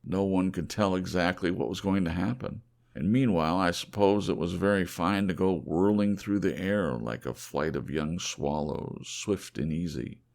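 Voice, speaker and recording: A man as a deep, gravelly, reflective storyteller. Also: normal speed, darkish tone. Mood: wistful musing. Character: weary, reflective man recounting a lost war dream. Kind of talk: audiobook character voice.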